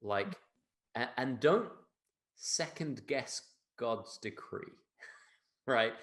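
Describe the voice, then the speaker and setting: A British man talks as an interview guest about his views in a conversational style. He stresses his points, repeats key phrases and tags them with little questions, at a middling pitch. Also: animated, very slow, a bit bright. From England.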